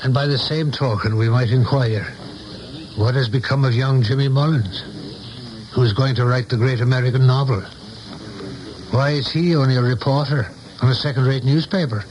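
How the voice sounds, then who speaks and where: An actor in a 1970s radio play, playing an older saloon keeper who is folksy, shrewd and philosophical with a loyal friend. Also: male voice, mid-range voice, steady tone, normal speed, a little mellow, a little hiss or hum, lightly acted. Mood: frank, reassuring. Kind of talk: 1970s radio drama acting. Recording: dull vintage radio broadcast, music behind.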